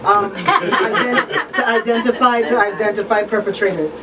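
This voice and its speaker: A woman's voice: a little high, big pitch swings, moderate pace, crowd noise. A woman hosting a community radio talk show, speaking earnestly and repeating her points for emphasis. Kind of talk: casual panel chat. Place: radio studio. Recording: distant mic with clear background noise.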